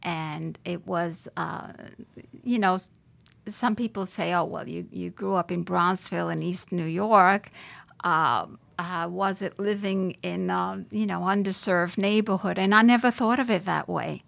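An older woman from Puerto Rico talks about her life as a podcast guest, thoughtful and hesitant, often pausing and restarting. Her voice carries a gravelly fry. The recording is close and dry, with no room around it. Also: on the low side, fairly even intonation, fairly bright tone, no room sound. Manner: reflective, many hesitations and restarts. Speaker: judge, interview guest. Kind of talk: podcast interview.

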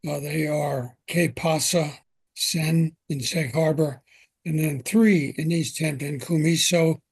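An older man hosting an interview podcast from his home, asking questions in a gentle, unhurried way with frequent fillers. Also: fairly high pitch, fairly even intonation, vocal fry, slightly rough, no room sound. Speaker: podcast host.

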